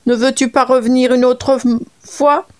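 A woman voicing a sly female frog who croaks and wails to dodge her debts. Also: high voice, level tone, moderate pace, darkish tone, pitched above the performer's own voice, natural voice. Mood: mournful. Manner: croaking, mournful pleading. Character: wailing female frog debtor, sly and evasive.

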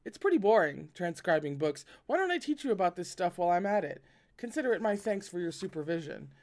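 A man's voice: high-pitched, big pitch swings, fast, darkish tone. A man voicing a mischievous, teasing young man who whines and jokes in a raised voice. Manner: teasing, whiny, sing-song.

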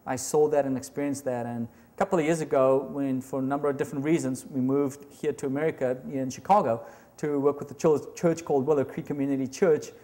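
A man's voice: medium pitch, fairly even intonation, fast talker, dark tone. A man in his thirties with a South African accent, giving an earnest, reflective interview about his church work. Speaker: church staff member. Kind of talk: testimonial interview. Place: indoor room with brick wall.

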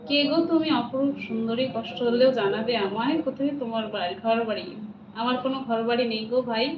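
A woman with a high voice hosts a casual livestream. She talks in a familiar, chatty way, repeating phrases as she responds to viewers. The sound is roomy and dull, with clear background noise. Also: fast. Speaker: livestream host. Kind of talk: casual live chat, reading and answering viewer comments.

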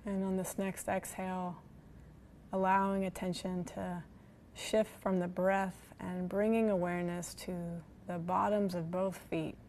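A woman with a lowish voice leads a guided meditation, speaking gently and soothingly. Her intonation stays very flat and steady, with long pauses between phrases. There is noticeable background noise in the recording. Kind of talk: guided body scan meditation.

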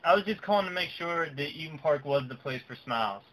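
Young man, bright voice, prank calling. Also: a little high, lively intonation, fast talker. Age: young adult. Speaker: prank caller. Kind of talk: casual prank phone chat. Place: small room indoors. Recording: noisy webcam recording.

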